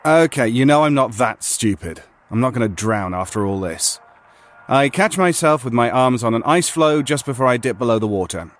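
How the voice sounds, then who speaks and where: An actor playing a stubborn, sardonic player in a surreal game, speaking conversationally in a naturalistic audio-drama style. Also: male voice, mid-range voice, expressive, moderate pace, natural voice. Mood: wry, exasperated.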